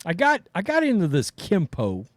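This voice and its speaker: A middle-aged man co-hosting a casual chat show, rambling and trailing off quietly at the ends of thoughts. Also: high-pitched, wildly swooping pitch, normal speed, no room sound, clean studio sound. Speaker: podcast co-host.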